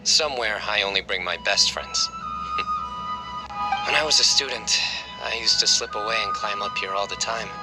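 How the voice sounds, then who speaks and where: An actor playing a gentle, loyal young man in a video game, speaking calmly and reflectively. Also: fairly low pitch, moderate pace, lightly acted, rough recording. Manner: calm, soft-spoken, reflective. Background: game music.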